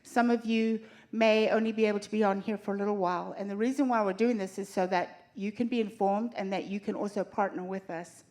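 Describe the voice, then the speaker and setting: A woman's voice: medium pitch, fairly even intonation, brisk. A woman from Zimbabwe hosting a church seminar, introducing board members and laying out the agenda in an organized way. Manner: warm, organized, introduces speakers and lays out the agenda. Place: church hall.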